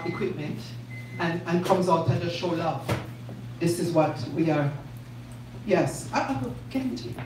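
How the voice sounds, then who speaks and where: A woman hosting a community event, speaking with emphatic, repetitive energy to the crowd. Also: fairly low pitch. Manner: warm, repetitive, emphatic, animated. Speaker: event host. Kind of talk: live event speech to a crowd. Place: community event space indoors. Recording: echoey room recorded from a distance, noisy.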